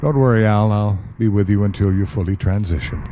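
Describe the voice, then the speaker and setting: A man acting a calm, solemn afterlife agent in an audio play, measured, gentle and matter-of-fact. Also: lowish voice, slight background noise, close to an everyday voice. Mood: gentle, reassuring.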